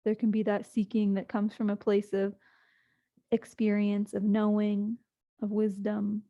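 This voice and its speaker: A woman leading a guided sleep meditation, speaking slowly and soothingly with long pauses. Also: mid-range voice, monotone, warm tone, muffled recording.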